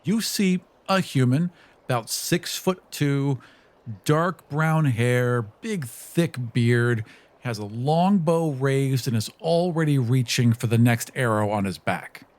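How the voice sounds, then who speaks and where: A man's voice: mid-range voice, expressive, slow. A man playing a tabletop roleplaying game, casually narrating what his character does. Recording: music playing behind the voice. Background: background music.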